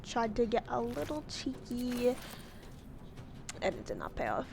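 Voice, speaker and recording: A woman's voice: on the high side, huge pitch swings, a little slow, gravelly. A woman giving casual let's-play commentary, chatty, exclaiming at setbacks and making wry, self-mocking asides. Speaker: gamer. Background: game music.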